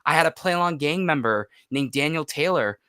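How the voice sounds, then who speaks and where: A man in his twenties with a smooth, forceful voice, recorded close and dry. He speaks as a guest in a candid chat, emotional and emphatic, often repeating a phrase to drive it home. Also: a little high, lively intonation, a little fast, no room sound. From United States. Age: 20s. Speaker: podcast guest, YouTuber and voice actor. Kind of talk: candid personal interview chat.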